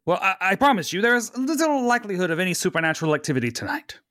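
A performer puts on a flustered, bookish middle-aged man at a table read. He is formal and fussy, stammering through phrases, with wide swings of pitch in a close, dry recording. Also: sing-song, rapid-fire, a bit bright, no room sound. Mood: formal, awkward. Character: flustered, bookish middle-aged male mentor being awkwardly festive.

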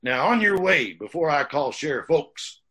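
A man playing a gruff, scolding local in an old-time radio drama, with a husky voice. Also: fairly high pitch, very animated, moderate pace, bright, forceful tone, full character voice.

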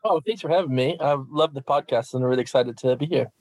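Middle-aged man, American Midwest accent, chatty and self-deprecating guest, mid-pitched voice. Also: fast, no room sound. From Minnesota, USA. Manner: animated, self-deprecating, lots of fillers. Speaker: vicar and guest. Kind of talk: casual interview storytelling.

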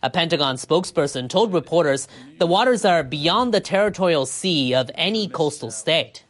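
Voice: medium pitch, animated, normal speed. News reader, mid-range voice, for scripted world news bulletins.

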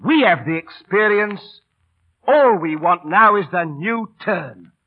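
An actor playing a cheeky, big-hearted London Cockney street entertainer, kindly but bossy, coaxing with repetitive patter in old radio drama style. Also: male voice, high voice, expressive, slow, breathy edge, heavily acted. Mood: warm, encouraging. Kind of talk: 1930s-40s radio drama acting. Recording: scratchy, noisy, tinny old radio broadcast.

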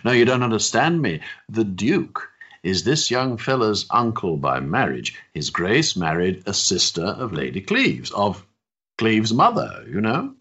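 Guy playing pompous gossipy old victorian doctor, husky n super animated, bit muffled, stage drama. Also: on the low side, unhurried, heavily acted. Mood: courteous, confiding. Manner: hesitant, pompous, fussy upper-class politeness. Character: genial, gossiping Victorian society doctor, an older man. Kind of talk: period stage comedy-drama acting.

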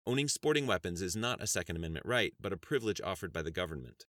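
A man's voice: low-pitched, lively intonation, fast talker, a bit bright, dry recording, clean studio sound. A man voicing a prim, indignant woman official with an insistent, rehearsed manner in a narrated novel. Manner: rehearsed, insistent, sometimes squealing. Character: prim, indignant female gun-control official.

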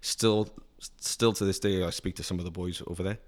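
Welsh man, low voice, casual chat, rambling with ums. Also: fairly even intonation, fairly quick. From Wales. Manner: chatty, with many ums and restarts. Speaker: guest, car dealer.

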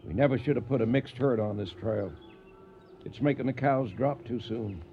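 An actor playing a steady, fatherly veteran cattle drover in a radio western, measured and matter-of-fact, with an American accent. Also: medium pitch, gravelly, dull audio, a little hiss or hum, lightly acted. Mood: calm.

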